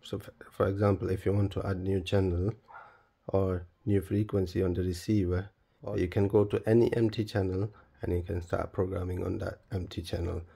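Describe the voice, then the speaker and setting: A man presenting a step-by-step tutorial in a plain, halting, instructional manner. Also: low-pitched, flat delivery, vocal fry, dark tone, breathy edge. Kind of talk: step-by-step spoken tutorial, unscripted.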